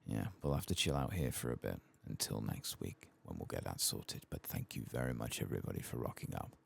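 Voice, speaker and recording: A man speaking softly in a husky, gravelly voice, giving quiet, unhurried commentary as he streams a game playthrough. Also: very deep voice, lively intonation. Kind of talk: soft-spoken ASMR game playthrough commentary.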